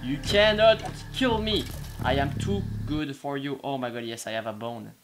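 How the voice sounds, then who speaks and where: A young man speaks English as a second language, restarting his words and exclaiming as he plays. He talks into a headset mic in a small room at a middling pitch. Game music runs clearly underneath. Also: moderate pace. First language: not English. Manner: excitable, hesitant, frequent exclamations. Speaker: gaming channel host. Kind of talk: casual gameplay commentary.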